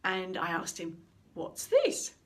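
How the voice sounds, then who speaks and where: A middle-aged woman, a communication trainer, giving an instructional talk and telling a story with lively, acted-out quotes. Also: fairly low pitch, slow. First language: English. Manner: animated storytelling, acting out quoted speech.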